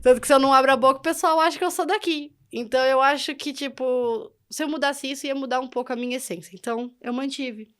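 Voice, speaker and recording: A young woman with a Brazilian accent talks as a guest on a podcast. Her voice sits fairly high, and she speaks in a chatty, informal storytelling way. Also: steady tone, quick pace, hardly any pauses, a bit bright. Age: young adult. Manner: chatty, informal, often laughing. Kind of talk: casual conversational storytelling.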